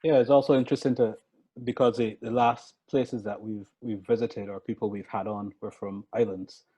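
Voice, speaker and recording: Co-host, male, earnest and reflective, slightly rough low voice. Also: fairly even intonation, normal speed, warm tone, dull audio. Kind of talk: casual video chat.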